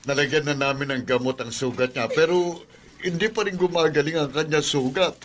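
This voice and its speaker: A man's voice: fairly high pitch, brisk, a bit bright, slight background noise, close to an everyday voice. A man playing an anxious village father in a radio drama, full of worried exclamations.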